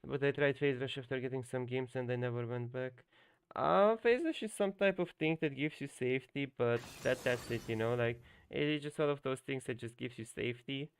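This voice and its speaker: A man's voice: mid-range voice, brisk, smooth, dry recording, low-quality recording. A young man whose first language is Hungarian, coaching on a live stream in loose, rambling talk full of fillers and restarts. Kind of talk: casual live-stream commentary.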